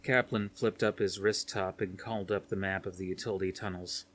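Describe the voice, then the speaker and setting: Low-voiced man narrating a thriller. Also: steady tone, a little fast, a bit bright, a little hiss or hum. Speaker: audiobook reader. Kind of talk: scripted narration of a thriller novel.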